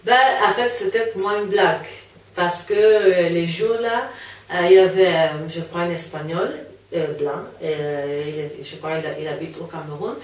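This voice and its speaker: A woman's voice: fairly low pitch, fairly even intonation, moderate pace. A young woman whose first language is Italian, answering interview questions hesitantly with many fillers and restarts. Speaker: interview guest. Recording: echoey room, mic far off.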